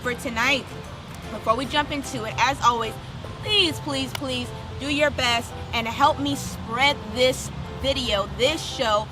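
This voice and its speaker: A woman's voice: sits high, measured pace. A woman hosting a children's story time, reading aloud expressively and putting questions straight to young listeners, with music behind her. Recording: music under the voice.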